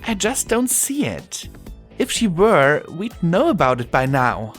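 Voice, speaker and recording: A man voicing a young female pony, a daughter who is cheeky and sulky, expressive in a storybook reading. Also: on the high side, measured pace, faint music behind, pitched above the performer's own voice, heavily acted. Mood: worried. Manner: pleading, sly, expressive.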